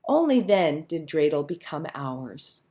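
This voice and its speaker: Expressive woman reading a storybook, lively pitch. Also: unhurried. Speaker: storybook reader. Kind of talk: scripted children's story narration, read aloud.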